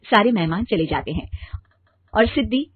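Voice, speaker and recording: A woman's voice: medium pitch, lively intonation, moderate pace, slightly rough, phone-quality sound. A woman storyteller narrating a scripted family tale.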